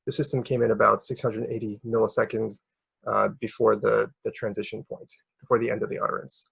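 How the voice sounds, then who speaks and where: Male academic presenter, very husky and gravelly, halting delivery, slightly muffled recording. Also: fairly low pitch, flat delivery, a bit bright, dry recording. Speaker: researcher presenting a paper.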